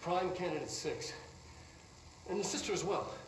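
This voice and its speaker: A man playing a nervous, excitable research scientist in a game, rambling and halting as he justifies himself. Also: high-pitched, lightly acted. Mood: excited. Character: nervous, excitable male research scientist defending his prized subject. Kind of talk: video game drama acting. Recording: distant, echoey, noisy recording.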